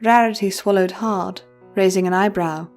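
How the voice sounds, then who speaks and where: A woman's voice: medium pitch, steady tone, normal speed, darkish tone. A woman reading scripted story narration as the narrator of an audio drama. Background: music.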